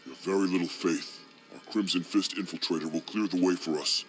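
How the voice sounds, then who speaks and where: A man voicing a scheming demon warlord in a game cutscene, speaking in a smug, slow, menacing manner. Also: deep voice, soft background music, lightly acted, low-quality recording. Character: scheming male demon warlord, cold and commanding. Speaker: villain leader.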